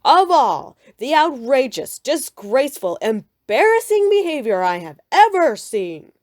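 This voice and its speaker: A woman voicing a gruff, blustering father bear who roars when angry. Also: sits high, very animated, measured pace, darkish tone, dry recording, clean studio sound, voice pushed up. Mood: indignant roaring. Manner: roaring when angry, otherwise mild.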